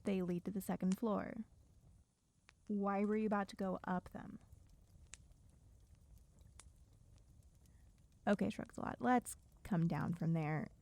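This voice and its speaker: A woman's voice: medium pitch, moderate pace, gravelly, a little mellow, lightly acted. A woman playing a wry, bossy, guarded survivor in a dystopian audio roleplay, speaking to a silent listener in a dry, chiding, sardonic way. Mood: alarmed, chiding.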